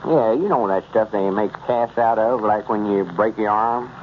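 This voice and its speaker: A man's voice: on the low side, normal speed, a little hiss or hum, close to an everyday voice. A man playing a boastful, scheming rural storekeeper with a self-important country drawl, in a 1930s radio comedy. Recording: muffled old radio transcription.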